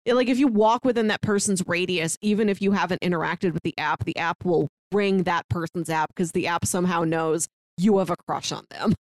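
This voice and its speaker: A woman's voice: lowish voice, steady tone, fairly quick, hardly any pauses, no echo. An American woman co-hosting a movie review podcast in a casual, chatty, lightly humorous way. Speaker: podcast co-host.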